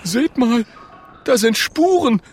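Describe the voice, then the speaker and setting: A small, timid young character from a children's storybook, put on by a male reader. The voice is childlike and nervous, pitched far up high. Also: expressive, takes it slowly, slight background noise, full character voice.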